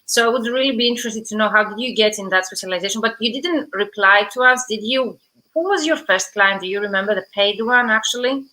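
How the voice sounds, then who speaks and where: A woman's voice: mid-range voice, fast, pushed and bright, low-quality recording. A woman hosting a live stream over a video call, greeting viewers by name and asking her guest questions, with frequent fillers. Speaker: host.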